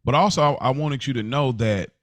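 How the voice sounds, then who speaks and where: An American man co-hosting a podcast in casual talk, earnest and halting, repeating himself for emphasis. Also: medium pitch, a bit bright, no echo. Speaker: podcast co-host. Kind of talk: casual chat.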